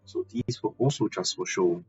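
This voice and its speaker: An actor playing a calm, observant investigator in a video game, speaking analytically. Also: male voice, lowish voice, normal speed, natural voice. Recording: dull audio, faint music behind. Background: game background music.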